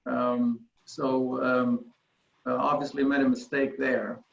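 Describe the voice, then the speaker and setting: A man from Sweden casually introducing himself on a group video call, with a halting delivery, in an echoey room. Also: mid-range voice, little pitch movement, vocal fry, highs cut off. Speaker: executive search consultant, meeting participant.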